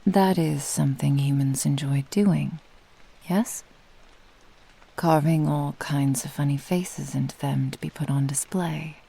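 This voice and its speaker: A woman playing a possessive, doting fox-spirit witch in an intimate ASMR roleplay, teasing in formal old-fashioned phrasing and often dropping into breathy whispers. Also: deep voice, fairly even intonation, measured pace, mellow, full character voice, low-quality recording. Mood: teasing, delighted. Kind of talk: ASMR roleplay, intimate scripted monologue to the listener.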